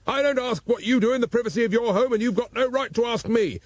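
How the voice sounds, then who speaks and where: An outraged householder shouting back at the police in a read-aloud story, as a male narrator puts him on. The voice is pushed up well above the reader's own and sounds strained and indignant. It stays husky and dry. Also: very high-pitched, quick pace, dry recording, voice pushed up. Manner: yelling, outraged.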